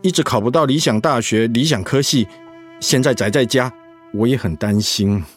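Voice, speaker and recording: An actor playing a troubled, torn middle-aged pharmacist in a radio drama. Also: male voice, medium pitch, normal speed, soft background music, close to an everyday voice. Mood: dejected.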